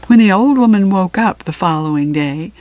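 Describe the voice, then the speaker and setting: A woman reading a children's picture book aloud with expressive phrasing and deliberate pauses. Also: medium pitch. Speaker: storybook reader.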